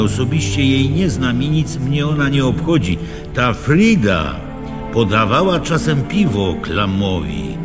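An actor playing a cold, officious castle official in a radio play, speaking formally and imperiously. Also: male voice, medium pitch, close to an everyday voice. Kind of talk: radio drama acting. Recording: reverberant hall with music behind.

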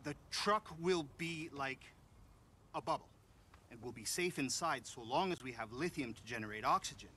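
Older science guy in a game, precise and explainy, forceful bright voice, bit of ambience behind. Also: mid-range voice, expressive, moderate pace, a little hiss or hum. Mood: explanatory. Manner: explanatory, technical. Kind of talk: video game voice acting.

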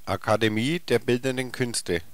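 A man's voice: medium pitch, normal speed, heavy background noise. A man reading scripted bus stop announcements in a clipped, formal, neutral manner, from a 1990s cassette recording. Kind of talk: scripted public transit announcement, short formal stop names.

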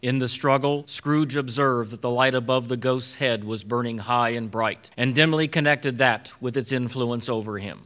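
A man acts as a formal storybook narrator in a radio drama. His voice is mid-pitched, bright and forceful as he reads the scripted tale. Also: lightly acted.

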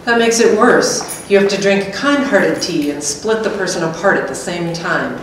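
A woman's voice: on the low side, normal speed, natural voice. A middle-aged woman giving a staged reading of a two-person script, playing a wry, anxious character. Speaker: performer reading a scripted dialogue. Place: echoey gallery room with hard walls. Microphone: stand microphone. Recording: echoey room, distant, faint noise.

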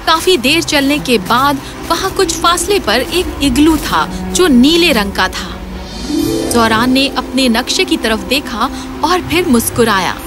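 A woman's voice: high-pitched, animated. A woman narrating a scripted children's fairy tale as a storyteller. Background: music.

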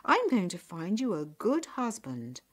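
A woman's voice: medium pitch, huge pitch swings, a little slow, a bit bright, heavily acted. A woman voicing a kindly, firm father in a folk tale, reassuring and decided. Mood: pleased, firm.

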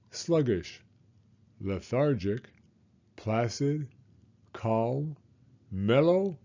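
A man's voice: medium pitch, dark tone, muffled recording. An older man voicing a placid, languid storybook sloth who draws his words out one by one. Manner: drawn-out, word by word, unruffled.

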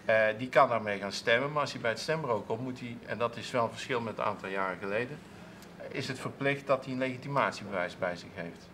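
A man's voice: medium pitch, fast talker, bright, forceful tone, a little hiss or hum. A man in his thirties, a council clerk, answering interview questions in a TV studio with many restarts and fillers. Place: TV studio with seated audience, at a table.

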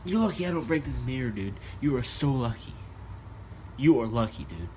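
A man's voice: medium pitch, lively intonation, normal speed, warm tone, a little hiss or hum, rough recording. A young man acting in an improvised home drama, frustrated and swearing, recorded on a phone in a small bedroom. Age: young adult. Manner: frustrated, swearing, calls people "dude". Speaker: amateur actor. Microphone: phone camera held at arm's length.

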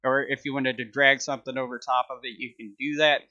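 A man in his thirties hosting a casual software tutorial with an informal, upbeat manner. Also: medium pitch, little pitch movement, brisk, dry recording, dull audio. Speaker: tutorial host.

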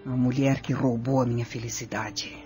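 An actress playing a vengeful, scheming Roman noblewoman in a radio drama, speaking imperiously and deliberately. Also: rumbling low, fairly even intonation, over music. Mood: commanding, calculating.

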